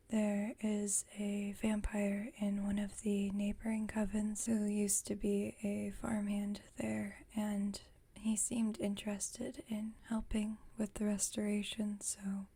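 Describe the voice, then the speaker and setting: A woman plays a female vampire roommate in an intimate ASMR roleplay. Her voice is a soft, breathy whisper with a dark, mellow tone. She chats at a slow, unhurried pace with gentle wry exasperation. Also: mid-range voice. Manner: unhurried, conversational, lightly wry. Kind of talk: ASMR roleplay, intimate one-sided chat.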